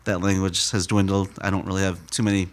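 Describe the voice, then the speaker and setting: A man in his thirties with a lowish voice tells stories in an easy, meandering way. His delivery is relaxed and full of little fillers. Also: fast talker. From United States. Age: 30s. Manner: relaxed, rambling with frequent fillers. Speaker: donut shop owner. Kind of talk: casual interview storytelling.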